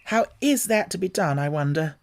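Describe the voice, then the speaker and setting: A man's voice: on the high side, animated, moderate pace, vocal fry. A man voicing a polite, truthful little girl who talks earnestly. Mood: musing.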